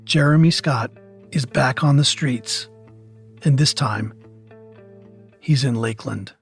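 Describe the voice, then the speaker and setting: A man narrates a true crime story in a slow, measured and ominous way. His pitch sits in the middle range and he takes his time over every phrase. Soft acoustic guitar music plays beneath him the whole way through. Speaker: podcast narrator.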